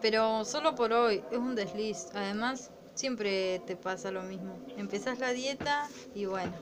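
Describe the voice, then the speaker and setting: A woman's voice: mid-range voice, measured pace, close to an everyday voice. A woman playing a bossy, playful schoolgirl with an Argentine accent in an amateur radio play. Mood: persuasive. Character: bossy, playful twenty-one-year-old schoolgirl friend. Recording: noisy amateur recording with clicks.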